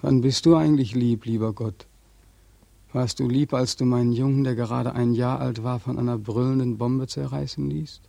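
An actor playing a bitter, despairing young war veteran in a 1940s German radio drama, anguished, sardonic and accusing. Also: male voice, medium pitch, steady tone, normal speed, vocal fry, warm tone, no room sound, lightly acted. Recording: dry 1940s radio studio recording.

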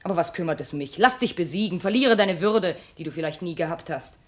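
An actress playing a proud, bitter, jilted princess now enslaved, speaking reproachfully in formal 1950s German radio drama style. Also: female voice, fairly low pitch, animated, brisk. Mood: scornful. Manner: reproachful, cutting, formal stage diction.

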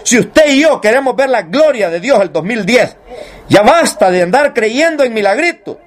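A man's voice: extremely high pitch, expressive, normal speed. A man preaching an evangelical sermon, exhorting his listeners directly and putting rhetorical questions to them.

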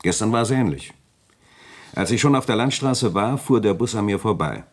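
A man's voice: fairly low pitch, expressive, normal speed. A man playing a suave, self-assured provincial notary, urbane and condescending, in a German radio play. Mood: smooth, explaining.

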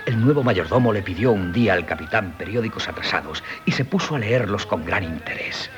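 An actor playing an earnest, polite and sickly young would-be sculptor in a Spanish radio play, with a Castilian accent and hesitant delivery. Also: male voice, on the low side, animated, brisk, natural voice. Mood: absorbed, narrating. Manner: polite, hesitant, deferential storyteller. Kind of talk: 1970s Spanish radio theatre acting. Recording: 1970s radio drama with music.

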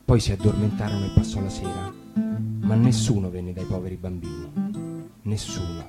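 A man reading a fairy tale aloud on radio in a calm, measured storytelling voice. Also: low-pitched. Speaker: reader. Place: radio studio. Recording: guitar music underneath.

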